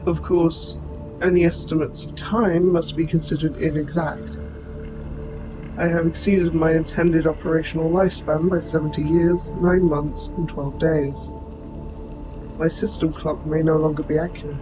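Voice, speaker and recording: Gentle, formal robot soldier, played by a man, higher-pitched. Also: flat delivery, natural voice, rough recording. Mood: formal, measured. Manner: formal, precise, measured wording. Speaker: audio roleplay voice actor. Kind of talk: scripted audio roleplay, addressing the listener. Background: music.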